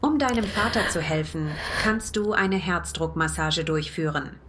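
A woman playing a calm AI wristband assistant in an audio drama, giving formal step-by-step instructions. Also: deep voice, normal speed, noisy background, lightly acted. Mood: calm, clinical. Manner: formal, procedural, unemotional.

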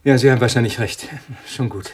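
An actor playing an uneasy, irritable scientist in a German radio thriller, speaking in a hesitant, agitated way. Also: male voice, medium pitch, a little fast, natural voice. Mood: hesitant, polite. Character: uneasy, irritable male scientist living alone with budgie.

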